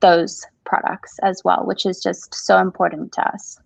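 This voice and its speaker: A woman's voice: lowish voice, flat delivery, moderate pace, creaky, no echo. A woman from Zimbabwe with a Zimbabwean accent, a coffee shop owner talking earnestly as a guest in a casual interview. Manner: warm, earnest, says "absolutely" often.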